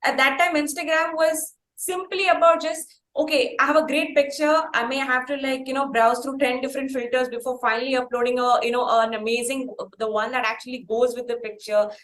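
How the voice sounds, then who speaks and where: A woman's voice: high-pitched, fairly even intonation, a little fast, hardly any pauses, fairly bright tone, reverberant, poor audio. A woman with an Indian English accent hosting a conversational interview, talking in long, wordy, circling questions. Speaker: interviewer, podcast host.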